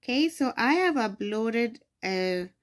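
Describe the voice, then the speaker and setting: A woman's voice: fairly high pitch, animated, slow pace. A young adult woman from Ghana, hosting a screen-recorded phone tutorial and explaining each step patiently. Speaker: tutorial host.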